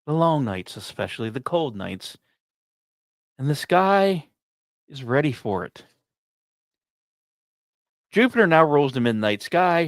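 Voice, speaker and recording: A man's voice: medium pitch, no echo. Man narrating a science podcast, dry close sound. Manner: dramatic storyteller phrasing with deliberate pauses. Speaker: podcast host. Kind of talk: scripted science narration with casual asides.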